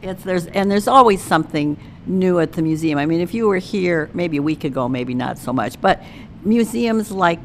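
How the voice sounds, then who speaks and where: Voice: medium pitch, animated, normal speed, a little hiss or hum. A radio show host leading a casual, folksy interview.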